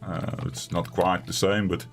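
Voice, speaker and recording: A man with a raspy voice streaming a survival game from home, chatting casually and rambling in a self-deprecating way. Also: sits low, level tone, measured pace. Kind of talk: casual let's-play commentary.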